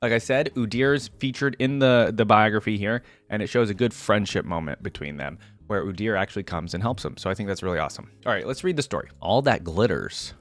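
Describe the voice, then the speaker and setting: Low-pitched man reading and reacting. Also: expressive, a little fast, few pauses. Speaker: reaction video host. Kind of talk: reading game lore aloud with casual commentary.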